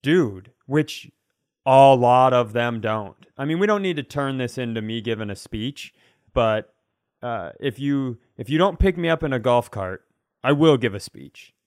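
Man in animated, rambling podcast banter. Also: medium pitch, measured pace, dry recording, clean studio sound. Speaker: comedy podcast co-host. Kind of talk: casual comedic conversation.

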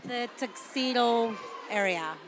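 A woman's voice: on the high side, slow. A middle-aged woman from El Salvador, a parent answering interview questions informally and frankly. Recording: noisy outdoor crowd recording.

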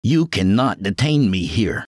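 A man's voice: fairly low pitch, very animated, a little slow, a little mellow, no echo, studio quality. A man voicing an exhausted, irritable male doctor in an audiobook. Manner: bitter, snapping.

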